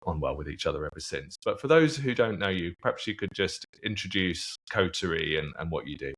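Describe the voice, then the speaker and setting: A man hosting a podcast interview, asking relaxed, rambling questions. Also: sits low, a little fast. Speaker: podcast host. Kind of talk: casual interview chat.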